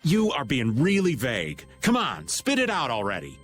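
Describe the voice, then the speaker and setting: A man's voice: mid-range voice, wildly swooping pitch, normal speed, a bit bright. An actor playing a laid-back, middle-aged male archer who drawls and cracks jokes. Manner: drawling, wisecracking. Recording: game music underneath.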